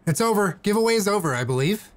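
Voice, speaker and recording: A man's voice: high voice, expressive, fast talker. A young man talking casually to viewers and fellow players while live streaming a game. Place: indoor room, streaming setup.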